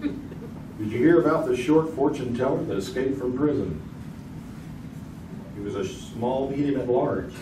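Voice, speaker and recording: A man's voice: mid-range voice, measured pace, pauses often, lightly acted. A man playing a joking 1950s quiz show host with a folksy, teasing manner, on a theater stage. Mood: playful. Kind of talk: 1950s radio game show acting. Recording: echoey stage audio from far off, hiss.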